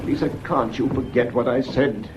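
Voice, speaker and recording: An actor playing an anguished, suspicious schoolmaster with a stammering, pleading manner in a period radio melodrama. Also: male voice, medium pitch, fairly even intonation, moderate pace, natural voice. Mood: soothing, uneasy. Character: anguished, suspicious middle-class schoolmaster, evasive lover. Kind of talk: period stage melodrama, radio play acting. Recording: dull, noisy old radio recording.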